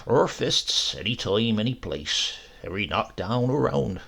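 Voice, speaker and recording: A boastful old country uncle from England, acted out by a male reader, swings widely in pitch as he spins tall tales. His voice is husky and gravelly, and he savours every sly phrase of the storybook yarn. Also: lively intonation, a little slow, a bit bright, heavily acted.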